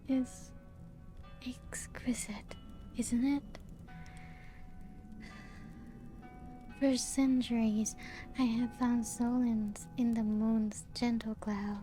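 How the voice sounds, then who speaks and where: Soft breathy woman acting a vampire lady for asmr roleplay, kinda high but mellow, half whispering, serene, music behind. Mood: wistful, enchanted. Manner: slow, unhurried, gently lilting storytelling. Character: genteel, serene, playful ancient female vampire castle host.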